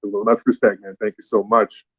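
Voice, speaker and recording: A man hosting a call-in panel, brisk and bossy as he brings callers on and tells them to slow down. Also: fairly low pitch, flat delivery, fairly bright tone, lo-fi sound. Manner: brisk, calls people up and scolds them to slow down. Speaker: host of a call-in panel stream. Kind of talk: casual moderating.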